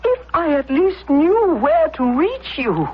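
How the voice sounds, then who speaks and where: A woman's voice: sits high, big pitch swings, slow pace, slight background noise, exaggerated voice. A woman acting in a radio drama as a controlling, self-pitying older invalid mother, querulous and martyred. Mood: martyred, reproachful. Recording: muffled radio drama recording.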